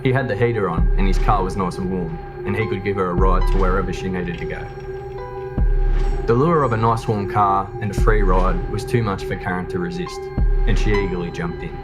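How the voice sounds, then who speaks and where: A man's voice: deep voice, a little fast. A man reading scripted true crime documentary narration. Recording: music bed under the voice. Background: background music.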